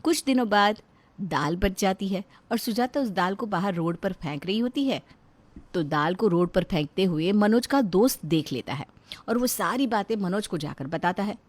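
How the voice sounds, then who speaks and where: A woman's voice: mid-range voice, animated, moderate pace. A woman storyteller reading a scripted narration for a moral family story.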